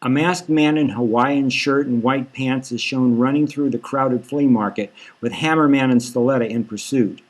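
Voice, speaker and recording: Male author-narrator for scripted dark fiction audiobook, mid-range voice. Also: mid-range voice, normal speed, hardly any pauses. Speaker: author reading own novel.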